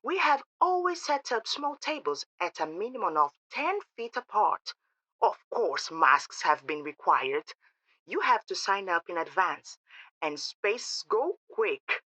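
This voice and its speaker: A woman's voice: mid-range voice, big pitch swings, unhurried, pushed and bright, dry recording, heavily acted. A woman playing a saccharine, gushing receptionist for a secretive organization in an audio drama, with a syrupy sales manner, over a phone call. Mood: gushing, persuasive. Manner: effusive, sales-like, syrupy familiarity.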